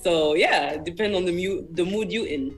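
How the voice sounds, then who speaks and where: A man's voice: high voice, moderate pace, faint music behind. A young man, a native French speaker, talking earnestly and casually as a singer interviewed on the radio. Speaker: independent singer-songwriter, interview guest.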